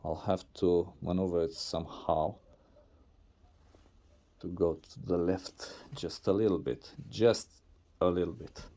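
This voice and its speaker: Non-native English man, slow and low-pitched, halting workshop vlog narration, shot on a camera mic. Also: fairly even intonation, warm tone. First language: not English. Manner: halting, many restarts and fillers. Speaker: DIY video maker.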